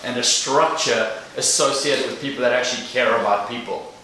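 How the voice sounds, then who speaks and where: A man's voice: mid-range voice, normal speed, bright, forceful tone. A man in his thirties with a South African accent, giving an earnest motivational talk to a live audience. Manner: earnest, direct, addresses the crowd. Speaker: guest speaker. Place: large echoey event room. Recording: echoey room, distant mic, faint noise.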